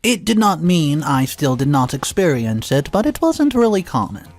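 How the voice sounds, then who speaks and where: A man reading a first-person drama story aloud in a calm, even storytelling manner, with an occasional filler. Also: a little high, moderate pace, soft background music. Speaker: story narrator.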